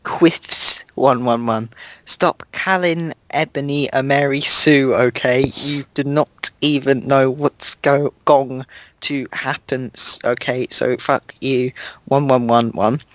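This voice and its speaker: Slow deadpan young man reading aloud. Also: mid-range voice, no echo. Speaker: reader of a fan fiction. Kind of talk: mock-dramatic reading over gameplay.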